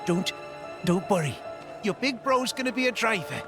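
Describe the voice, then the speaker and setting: Nervous, high-voiced young big brother. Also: male voice, lively intonation, normal speed. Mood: reassuring. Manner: hesitant, stammering. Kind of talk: video game cutscene acting. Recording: music clearly behind the voice.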